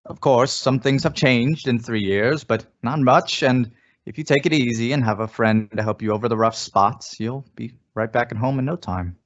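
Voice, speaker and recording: A man's voice: medium pitch, moderate pace, natural voice. A man playing a folksy, insinuating former small-town police chief in an old-time radio suspense drama, coaxing and persuasive. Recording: dull, lo-fi audio.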